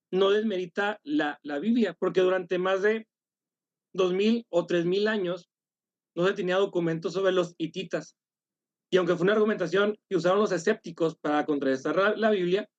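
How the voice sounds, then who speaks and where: A man leading an online study workshop, speaking haltingly, correcting himself and apologizing after coughs. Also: high voice, darkish tone, dry recording, muffled recording. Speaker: workshop leader.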